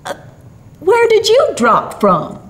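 Woman playing a folksy, animated old Santa. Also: extremely high pitch, slow pace, roomy sound, pitched above the performer's own voice, exaggerated voice. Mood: surprised. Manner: folksy, emphatic. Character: kindly, surprised old street Santa, gray-bearded man. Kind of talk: storybook character voice.

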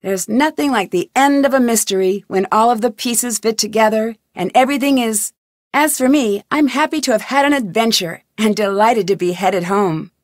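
A woman's voice: fairly high pitch, normal speed, no echo, clean studio sound, lightly acted. An actress voicing a wry young woman detective in scripted game narration. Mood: wrapping up.

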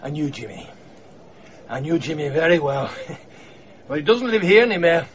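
An actor playing a wily, cagey Scottish street beggar in a radio drama, sly and teasing. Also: male voice, a little high, sing-song, normal speed, lo-fi sound, a little hiss or hum.

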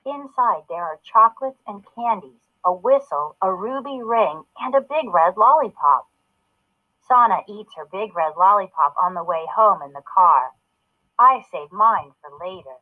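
A woman's voice: mid-range voice, lively intonation, unhurried. A woman narrating a children's story as a read-aloud, in a narrow phone-like recording.

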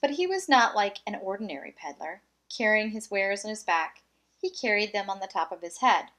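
A woman reading a children's picture book aloud, with expressive, deliberate phrasing and small asides. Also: mid-range voice.

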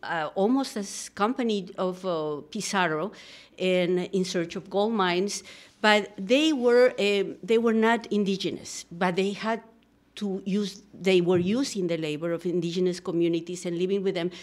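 Low-voiced Peruvian woman guest. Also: normal speed. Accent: Peruvian Spanish. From Peru. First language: Spanish. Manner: earnest, reflective, many fillers and restarts. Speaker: guest, social psychologist. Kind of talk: radio interview. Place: radio studio. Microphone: studio microphone, headphones.

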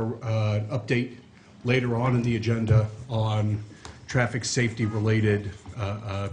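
A middle-aged man chairing a town board meeting in a measured way, with many pauses and fillers. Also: on the low side, darkish tone, slight background noise. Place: town hall meeting room.

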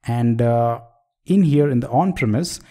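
Man, tutorial instructor; mellow voice, explains patiently, quizzes the viewer. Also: medium pitch, measured pace. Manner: explanatory, asks the viewer questions, frequent "so". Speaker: software trainer. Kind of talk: screen-recorded tutorial lecture.

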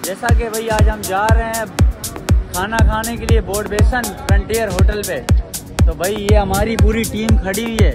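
Loud electronic music runs over this young man. He talks casually as a vlogger does, addressing viewers and friends alike. It is recorded outdoors on a phone held at arm's length. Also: high voice, fairly even intonation, moderate pace. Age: 20s. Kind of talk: casual vlog chat with friends. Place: outdoors at night, street and open-air restaurant.

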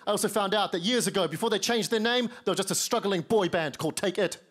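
A young man with a New Zealand accent doing stand-up on a TV studio stage into a handheld mic, wry and self-mocking, dropping into lower voices for quoted lines. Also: quick pace. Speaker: stand-up comedian. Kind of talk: stand-up set. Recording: studio stage with audience noise. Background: studio audience laughter.

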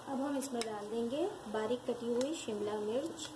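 A woman with a speech hosting a home cooking tutorial, calmly walking viewers through each step in her kitchen. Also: on the high side, moderate pace. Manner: calm, instructive, addresses viewers directly. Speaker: cooking channel host. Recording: noisy home recording with background ambience.

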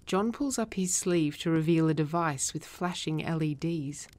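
A woman narrating a drama script, reading stage directions in a measured, matter-of-fact way. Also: deep voice, darkish tone.

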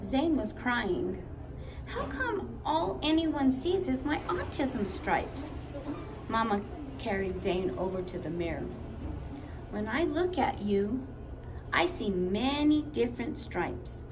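A middle-aged woman reads a story slowly, with wide swings in pitch. She sounds away from the mic, with clear noise around her. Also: big pitch swings, recorded from a distance. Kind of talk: slow, expressive story reading. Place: block-walled school room, indoors.

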